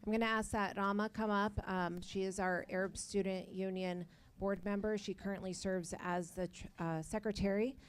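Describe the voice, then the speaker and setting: A woman's voice: mid-range voice, fairly even intonation, normal speed, clear, resonant tone. A woman host opening a panel event informally and addressing the audience. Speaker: event host and club advisor. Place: college library event.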